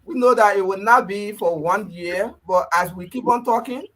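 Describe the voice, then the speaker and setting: A man from Liberia, a guest on a radio talk show, speaking earnestly in short, measured phrases. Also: sits high, fairly bright tone, a little hiss or hum.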